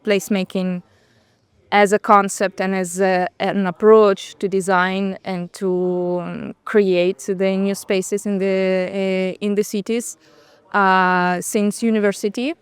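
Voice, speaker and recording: A woman's voice: mid-range voice, flat delivery, takes it slowly, clean tone, dry recording. A woman from Russia, whose first language is Russian, answering interview questions as a guest, speaking hesitantly with many fillers and restarts. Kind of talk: casual interview conversation. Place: outdoors on a bench at a city event.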